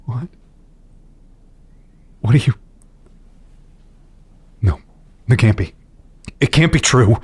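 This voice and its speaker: A man playing a shaken, disbelieving hero in an audio drama, speaking haltingly. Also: medium pitch, animated, darkish tone, husky, exaggerated voice. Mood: stunned disbelief. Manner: halting, stunned.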